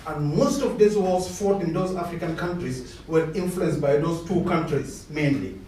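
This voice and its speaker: A man from South Sudan arguing forcefully from the floor of a public discussion, stumbling over restarts as he presses his point. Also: fairly high pitch, moderate pace. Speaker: audience member asking a question. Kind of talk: impassioned comment from the floor. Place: large lecture hall. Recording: echoey lecture hall, distant mic, faint hiss.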